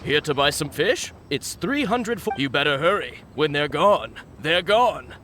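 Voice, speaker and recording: A man's voice: fairly high pitch, animated, unhurried, sharp tone, a little hiss or hum. An actor playing a defensive, gossipy male fishmonger in a video game, with hesitant, conversational delivery. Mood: brisk sales pitch. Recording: game music behind.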